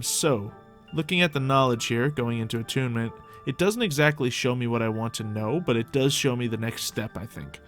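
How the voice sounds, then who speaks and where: A man giving casual, improvised roleplay game commentary, chatting and thinking aloud as host of a gaming video. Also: mid-range voice.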